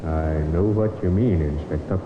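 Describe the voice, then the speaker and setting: An actor playing an agreeable police sergeant in an old radio drama. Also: male voice, low-pitched, moderate pace, natural voice. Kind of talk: 1950s radio drama acting. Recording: very echoey, muffled, noisy old recording.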